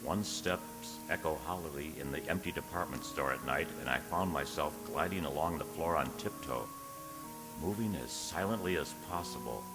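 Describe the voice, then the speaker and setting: A man's voice: extremely low pitch, moderate pace. A man playing a secretive, easily unnerved poet, narrating his diary in a literary, theatrical radio drama style. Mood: unnerved, hushed. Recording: reverberant radio play with music underneath.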